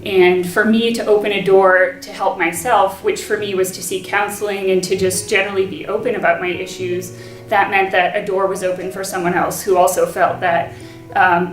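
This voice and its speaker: A young woman in her twenties speaks earnestly and reflectively, pausing often with soft ums as she shares her own experience. She sits a little away from the mic, so her voice carries a roomy echo, and faint music plays underneath. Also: mid-range voice, recorded from a distance. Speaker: graduate student. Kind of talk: personal testimony.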